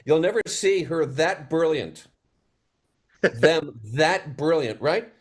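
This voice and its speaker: A man's voice: fairly high pitch, lively intonation, slow pace, breathy edge. A man speaking emphatically in a panel conversation, repeating phrases for effect. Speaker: theatre panel guest.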